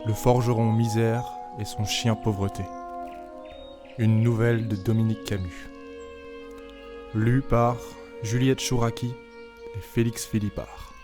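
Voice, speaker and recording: A man reading scripted credits in a formal, even manner. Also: fairly low pitch, a little slow, long pauses, over music. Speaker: credits announcer.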